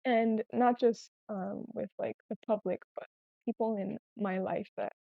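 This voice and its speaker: A woman's voice: on the high side, steady tone, unhurried, creaky. A woman whose first language was Spanish, telling personal stories casually and candidly as a podcast guest. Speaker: podcast guest, small business owner. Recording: roomy, echoey, slightly dull sound.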